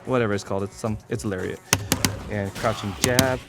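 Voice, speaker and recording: A man's voice: on the low side, fairly even intonation, brisk, creaky. A man giving a casual, informal video game tutorial, explaining things step by step with blunt asides. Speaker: competitive gamer presenting a character guide. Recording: voice over loud game music. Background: video game music.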